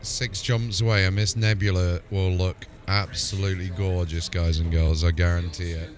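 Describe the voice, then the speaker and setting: A man's voice: sits low, flat delivery, normal speed. A man hosting a game livestream, chatting casually in a laid-back, sweary way. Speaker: game streamer and host. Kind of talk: casual livestream chat over gameplay. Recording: music playing behind the voice. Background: music.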